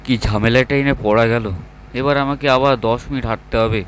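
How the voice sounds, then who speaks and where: A man's voice: mid-range voice, steady tone, a little fast, a little hiss or hum, natural voice. A man playing a grumbling young male office worker in a horror cartoon, muttering in exasperation.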